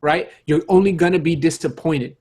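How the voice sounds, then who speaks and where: Insistent man with a higher-pitched voice, debating casually. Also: normal speed, a little mellow. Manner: insistent, repeats phrases as he argues. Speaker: podcast panelist.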